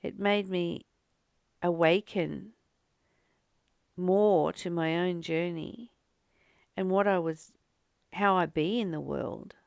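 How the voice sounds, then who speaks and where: Slow, creaky-voiced woman reflecting on spirituality. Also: lowish voice, darkish tone, dry recording. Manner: unhurried and contemplative, with pauses and repeated phrases. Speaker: host of a spiritual reading and study series. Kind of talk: reflective spiritual reading with informal personal commentary.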